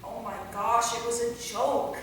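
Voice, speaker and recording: A woman's voice: fairly high pitch, little pitch movement, measured pace, a bit bright. A woman playing a flippant, sarcastic young vampire who teases in slang during a staged comedy reading. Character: cheeky, flippant young female vampire from Wisconsin. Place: theater, recorded from a distance. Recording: very echoey hall, far mic, clear noise.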